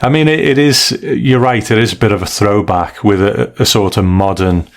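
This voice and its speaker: Male co-host with a lowish voice, measured and understated, chatting through a casual review. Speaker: podcast co-host.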